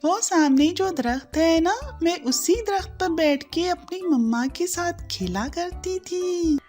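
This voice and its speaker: A woman's voice: very high-pitched, expressive, takes it slowly, a little mellow, soft background music, full character voice. A woman voicing a loving, anxious mother bird in a children's cartoon, with a motherly way of talking. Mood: tender.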